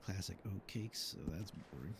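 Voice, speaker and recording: Low-voiced man in casual commentary. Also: moderate pace, creaky, noisy background. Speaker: commentator, former game cutscene developer. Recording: loud game music behind.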